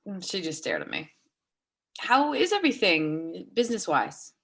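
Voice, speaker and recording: Female actor as a dry, tactless, awkward woman; lively swooping pitch, halting stage dialogue. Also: vocal fry, slightly rough, heavily acted. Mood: polite, brittle. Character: blunt, awkward, teasing woman, nervously polite. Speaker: amateur or local theatre actor. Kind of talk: naturalistic dramatic reading of a stage dialogue.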